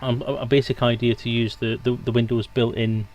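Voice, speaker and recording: A man's voice: medium pitch, flat delivery, fairly quick, a little mellow. A man hosting a livestream interview in a casual, informal, rambling way. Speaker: host and streamer.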